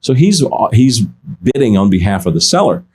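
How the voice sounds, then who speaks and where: Low-voiced man telling auction stories. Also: brisk, breathy edge. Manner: animated anecdotes, many restarts, quotes others. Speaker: auction insider guest. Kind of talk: casual podcast storytelling.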